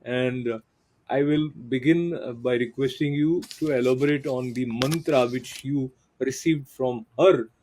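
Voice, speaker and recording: A middle-aged man hosts a television interview. His voice is warm and mellow, at an average pitch for a man. He speaks in measured phrases with frequent filler pauses. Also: a little hiss or hum, low-quality recording. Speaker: TV interview host.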